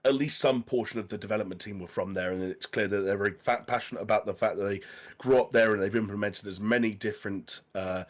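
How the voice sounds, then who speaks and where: Low-voiced man chatting on a podcast. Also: quick pace. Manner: rambling, chatty, lots of fillers. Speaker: podcast co-host. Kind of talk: casual chat.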